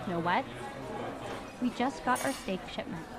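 A woman's voice: mid-range voice, expressive, a little slow. A woman playing a friendly, doting character who coos affectionately in an audio drama. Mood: cooing, affectionate. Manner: cooing, affectionate.

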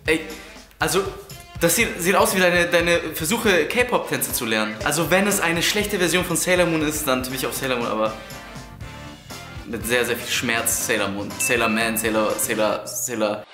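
A man in his twenties bantering casually and excitably as a YouTube host during a charades game. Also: a little high, moderate pace. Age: 20s. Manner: excitable, joking. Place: living room of a shared flat. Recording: echoey room under loud music. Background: loud music.

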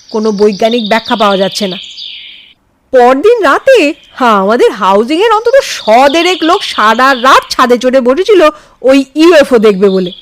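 A woman's voice: fairly high pitch, slight background noise. A woman voicing a self-assured, skeptical young man in an audio story, easygoing, teasing and dismissive. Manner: easygoing, mocking.

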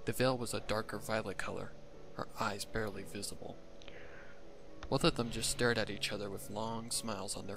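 A man's voice: fairly low pitch, vocal fry, noisy background. A man giving scripted narration of a fan fiction story.

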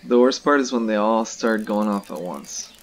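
A young man giving relaxed, casual commentary to viewers while he plays a fishing game. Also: lowish voice, normal speed. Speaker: gaming video host. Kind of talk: casual let's-play commentary.

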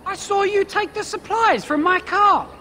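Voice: extremely high pitch, a little slow, background noise. An actor playing an indignant, accusatory villager in a heated quarrel in a fantasy video game. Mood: angry, accusing. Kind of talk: video game character dialogue.

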